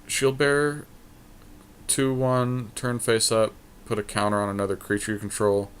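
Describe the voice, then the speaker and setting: A man with a fairly low voice talks casually through a card game draft. He deliberates out loud over each pick as a podcast co-host, in an offhand conversational way. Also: flat delivery, a little hiss or hum. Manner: thinking aloud, deliberating choices. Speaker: podcast co-host and player making card picks.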